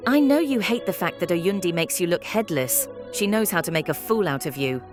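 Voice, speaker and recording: A woman's voice: on the low side, lively intonation, fairly quick, close to an everyday voice. A woman playing a defiant, taunting female bird in an audio play, speaking in a declamatory way. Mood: mocking. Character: defiant, mocking female bird, disillusioned former propagandist. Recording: music behind the voice.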